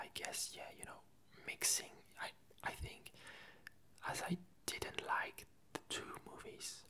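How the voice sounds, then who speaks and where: A French-accented ASMR creator, native in French, speaks in a hushed whisper right up on the microphone. The delivery is slow and hesitant, with drawn-out phrases and a crisp, bright edge. The sex of the speaker is hard to tell from the whisper. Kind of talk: whispered ASMR casual chat.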